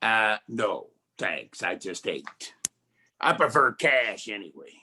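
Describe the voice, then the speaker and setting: A man's voice: lowish voice, very animated, takes it slowly, sharp tone, full character voice. A man playing a wisecracking, streetwise 1940s private detective in a campy radio noir style. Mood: wary, wry. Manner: slangy, wry. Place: live community theatre stage performance.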